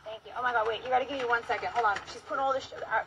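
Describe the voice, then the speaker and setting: A woman's voice: a little high, fairly even intonation, racing. A woman in her thirties chatting casually and bluntly, with plenty of swearing, on a livestream from a car. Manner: blunt, profane. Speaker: livestream host. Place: inside a car. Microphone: phone at arm's length. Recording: car interior with noise.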